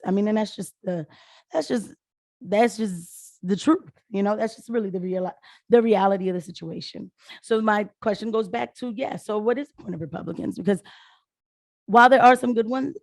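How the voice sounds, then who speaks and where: American woman, 30s, hoarse, informal political vlogger, rambling casual delivery, very dry close sound. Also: mid-range voice, darkish tone, no echo. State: hoarse after being sick. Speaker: political video commentator. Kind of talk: casual talk to viewers.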